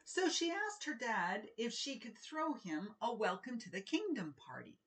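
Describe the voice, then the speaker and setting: Slow-paced, expressive woman storyteller. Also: on the high side. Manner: expressive, deliberate storytelling with emphasized words. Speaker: children's author reading aloud. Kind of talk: children's read-aloud and informal author talk.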